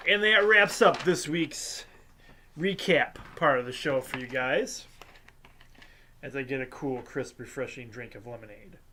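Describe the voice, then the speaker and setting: A congested host, casually reading a sports scores recap with lots of ums and uhs and small jokes. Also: male voice, mid-range voice, pushed and bright, slight background noise. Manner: halting, filler-heavy delivery with light jokes. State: congested, sinusy.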